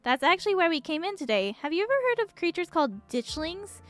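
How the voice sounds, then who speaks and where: A woman's voice: very high voice, big pitch swings, fast, voice pushed up. A woman voicing an eager, chirpy young woman who researches monsters in a visual novel. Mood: eager, upbeat. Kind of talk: visual novel character reading. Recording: music behind the voice.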